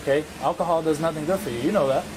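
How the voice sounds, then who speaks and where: Male cop, rapid patient de-escalation talk, body-camera sound with heavy noise. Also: a little high. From Cuba. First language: Spanish. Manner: patient, coaxing, quick-talking. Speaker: police officer. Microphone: police body camera. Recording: noisy body camera audio.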